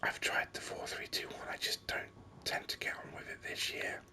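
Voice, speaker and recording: A gaming video host speaking in a breathy whisper, giving unhurried, rambling ASMR commentary with enthusiasm. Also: bright, forceful tone.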